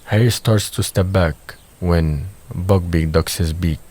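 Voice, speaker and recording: A man narrating a scripted audio drama in a measured, descriptive manner. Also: deep voice, darkish tone.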